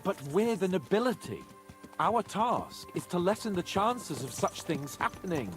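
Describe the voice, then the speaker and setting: An actor playing a proud, petulant young nobleman in a medieval video game, complaining in an expressive, haughty way. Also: high-pitched, unhurried. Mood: indignant. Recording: loud game music behind.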